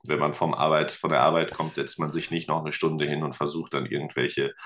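A man's voice: extremely low pitch, little pitch movement, brisk, a bit bright, a little hiss or hum. A middle-aged man chatting in an interview, rambling with lots of fillers and self-deprecating humor. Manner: rambling, hesitant, many fillers. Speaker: guest, teacher and musician. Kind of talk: casual interview chat.

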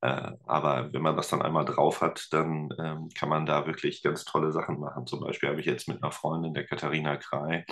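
Very deep-voiced man, rambling. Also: little pitch movement, fairly quick, hardly any pauses, fairly bright tone. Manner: rambling, hesitant, many fillers; self-deprecating humor. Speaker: guest, teacher and musician. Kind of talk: casual interview chat.

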